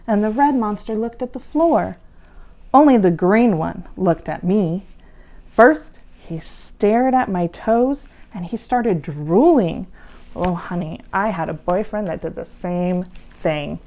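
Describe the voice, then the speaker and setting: Female spooky-hostess storyteller for kids: theatrical, playful, unhurried, with big swings in pitch. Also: expressive. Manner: dramatic, playful, hushed for suspense. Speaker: costumed horror-hostess storyteller for children.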